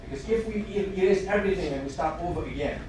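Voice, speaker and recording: Senegalese man speaking from a far, echoey hall. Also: high-pitched. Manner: halting, many fillers, building emphasis. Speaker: audience member asking a question. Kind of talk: formal public comment at a panel. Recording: echoey hall, distant mic, noisy.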